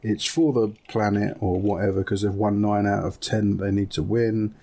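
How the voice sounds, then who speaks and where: A man's voice: lowish voice, level tone, normal speed, warm tone. A man chatting casually and enthusiastically as a podcast co-host, talking in a loose, conversational way. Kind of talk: casual chat about video game films.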